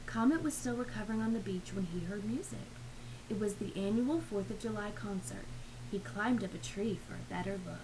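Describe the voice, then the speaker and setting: A woman reading a children's picture book aloud in an expressive storytelling manner, with hushed asides to young listeners. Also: medium pitch, a little fast, noisy background. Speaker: read-aloud reader.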